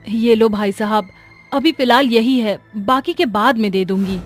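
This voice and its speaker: A woman giving scripted narration for a cartoon story. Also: medium pitch.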